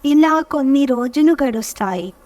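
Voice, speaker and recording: A woman narrating a scripted children's story as storyteller, with measured, careful phrasing. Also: high voice, lively intonation, darkish tone, no echo, recorded from a distance.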